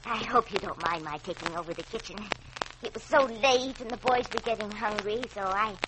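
A woman's voice: mid-range voice, fairly quick, lightly acted. An actress playing a sweet, innocent old lady who is polite, chatty and dithery, in an old-time radio drama. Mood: fluttery, apologetic. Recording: noisy vintage radio recording.

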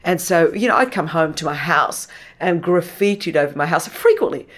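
A woman born in Australia to Greek parents, with Greek as her first language, telling her life story as a guest in a conversation, animated and rising sharply in pitch when she makes a point. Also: normal speed. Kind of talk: conversational testimony.

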